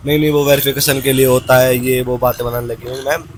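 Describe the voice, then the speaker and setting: A man's voice: medium pitch, little pitch movement, fast. A man tells a story casually and colloquially, mixing in English words, as he vlogs from a motorbike. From Haryana, India. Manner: chatty, colloquial, slangy Hindi-English mix, addressing viewers as brother. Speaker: motovlogger. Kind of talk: casual vlog storytelling while riding a motorbike. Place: outdoors, riding a motorbike on the road. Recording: clear road and engine noise. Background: vehicle and outdoor ambience noise.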